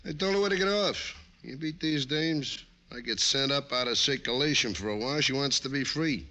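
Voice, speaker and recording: An actor playing a tough ex-convict who runs a pool room, curt and wisecracking, in old radio crime style. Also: male voice, medium pitch, normal speed, pushed and bright, close to an everyday voice. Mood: scornful. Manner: curt, wisecracking street talk. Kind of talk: 1940s-50s radio crime acting.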